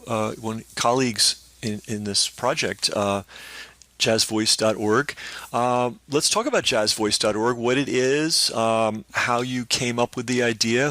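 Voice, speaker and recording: Bright-voiced male interviewer, halting. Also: medium pitch, no echo. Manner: halting questions full of uh and restarts. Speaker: interview host. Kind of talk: casual interview chat.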